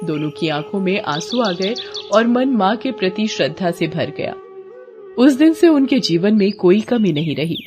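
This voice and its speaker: A woman's voice: medium pitch, lively intonation, moderate pace. Woman storyteller narrating over music. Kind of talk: scripted moral-story narration.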